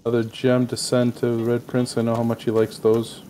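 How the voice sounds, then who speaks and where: A man's voice: mid-range voice, completely flat delivery, normal speed, warm tone, a little hiss or hum, lightly acted. An actor playing an upbeat, chatty male party member in a video game. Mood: offhand. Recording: game audio under music.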